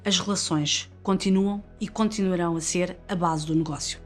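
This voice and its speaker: A woman's voice: on the low side, fairly even intonation, normal speed. A woman narrator with a European Portuguese accent delivers a scripted business report. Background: music.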